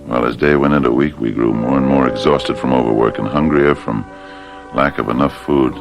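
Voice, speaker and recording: A man's voice: extremely low pitch, steady tone, fairly quick, gravelly, over music. An American actor playing a weary, desperate, hot-tempered man doing farm work in a radio drama. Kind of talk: radio drama acting, part narration.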